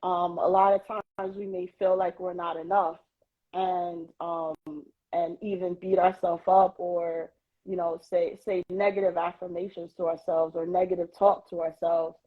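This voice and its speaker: A woman's voice: fairly low pitch, level tone, a little slow. Reflective woman from Queens, lo-fi stream. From New York City, Queens. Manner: reflective, faith-centered, many "um" and "you know" fillers. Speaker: podcast guest. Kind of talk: casual interview chat. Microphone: phone on a live social video stream. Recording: lo-fi livestream audio.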